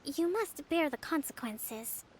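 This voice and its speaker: A woman playing a young, principled priestess leader in a video game, measured, formal and authoritative. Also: high-pitched, animated. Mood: firm.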